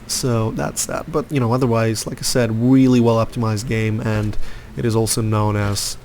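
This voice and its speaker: A man's voice: fairly low pitch, flat delivery, normal speed, a little mellow, slight background noise. A young man from Lithuania giving rambling, opinionated commentary over his gameplay, with lots of fillers. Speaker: gaming youtuber.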